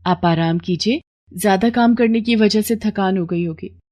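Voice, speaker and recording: Casting: concerned, gentle wife and housemaid in a cartoon moral tale voiced by a female narrator. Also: mid-range voice, moderate pace, close to an everyday voice. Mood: soothing. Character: caring, worried wife of a poor labourer, a housemaid. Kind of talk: animated moral story voice acting.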